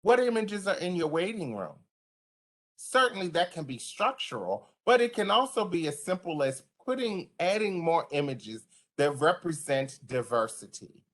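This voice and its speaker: Deep voiced woman teaching providers over a video call, really animated and passionate, talks like it's a chat. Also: moderate pace. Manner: passionate, conversational, emphatic. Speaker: trainer for service providers. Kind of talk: online training presentation.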